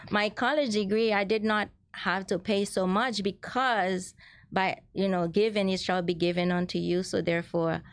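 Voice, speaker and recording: A woman's voice: medium pitch, fairly even intonation, moderate pace, dry recording. A middle-aged woman from Haiti, a guest in a casual interview, talking earnestly with many restarts. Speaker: guest, transit ambassador.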